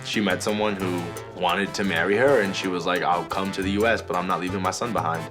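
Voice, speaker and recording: A young man with a low voice tells his story in a casual, slangy way. He grew up between the Dominican Republic and New York. Music plays clearly behind him. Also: steady tone, brisk. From born in the Dominican Republic, in New York from age eight. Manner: informal and slangy, with frequent "like" and "you know". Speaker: reality show participant, school safety officer and club DJ. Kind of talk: casual personal storytelling to camera. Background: background music.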